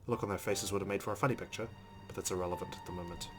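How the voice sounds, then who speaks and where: A man's voice: low-pitched, fairly even intonation, very fast, music in the background. A man reading a visual novel aloud as dramatic narration for a let's-play.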